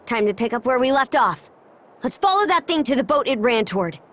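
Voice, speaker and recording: Brash, high-voiced young warrior. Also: female voice, sing-song, brisk, a bit bright. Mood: upbeat, confident. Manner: breezy, cocky, folksy slang. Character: brash, confident young female seafaring warrior. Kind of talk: video game cutscene acting.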